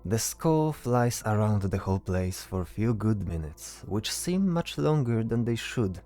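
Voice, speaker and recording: A man's voice: fairly low pitch, big pitch swings, unhurried, dark tone, faint music behind. A man narrating a scripted fantasy story as the narrator of an audio drama.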